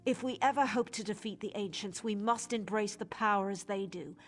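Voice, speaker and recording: A woman playing a starved young female vampire in a video game, husky and pleading, with dramatic intensity. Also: medium pitch, brisk, close to an everyday voice. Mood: desperate, defiant. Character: young female vampire, starved, guilt-ridden and desperate for blood.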